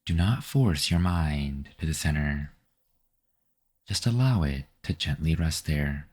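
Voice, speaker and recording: A man guiding a meditation with gentle, unhurried, scripted instructions. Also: extremely low pitch, animated, husky. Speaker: meditation guide. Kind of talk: scripted guided meditation narration.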